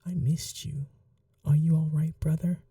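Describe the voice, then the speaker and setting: A performer voicing a sweet, innocent young vampire prince, a doting younger brother, in breathy, earnest ASMR roleplay. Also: mid-range voice, little pitch movement, unhurried, dark tone, no room sound, studio quality, distant mic. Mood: relieved, affectionate. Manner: breathy, whispery, earnest, affectionate.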